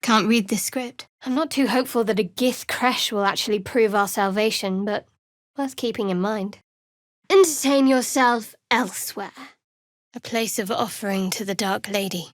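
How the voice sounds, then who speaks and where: A woman playing a guarded, sardonic young cleric of a dark goddess in a fantasy game, speaking in a measured, dry, wry way. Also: medium pitch, no echo, lightly acted. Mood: solemn, measured. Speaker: video game voice actor. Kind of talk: scripted fantasy game dialogue.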